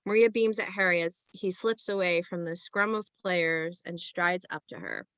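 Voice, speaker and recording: A woman's voice: lowish voice, fairly even intonation, normal speed, a bit bright, no room sound. A woman reading screenplay stage directions as scripted present-tense narration.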